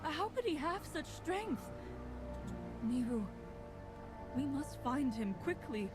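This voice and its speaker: Fantasy game mother,, high-pitched, protective, distraught and emphatic, over music. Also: sing-song, slow pace, exaggerated voice. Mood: anxious, disbelieving. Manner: emphatic, indignant. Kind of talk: dramatic fantasy game voice acting.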